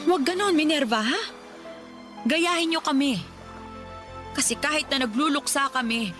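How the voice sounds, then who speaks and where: A woman plays a strict middle-aged Filipino mother in a radio drama. Her voice sits fairly high as she scolds and nags with exasperated insistence. Music plays clearly beneath her. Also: close to an everyday voice. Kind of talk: Tagalog radio drama acting. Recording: background music under the voice.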